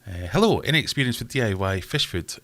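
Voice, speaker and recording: A man's voice: mid-range voice, animated, quick pace, a bit bright, no echo. A man hosting a casual livestream from home, rambling and self-deprecating as he reads out chat comments. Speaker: livestream host.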